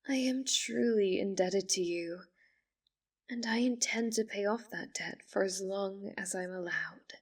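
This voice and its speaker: A woman playing a polite, obsessively devoted fox spirit in an ASMR roleplay, speaking in formal, composed phrases with quiet menace. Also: mid-range voice, husky, distant mic. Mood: tender, possessive. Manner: formal, measured, composed wording.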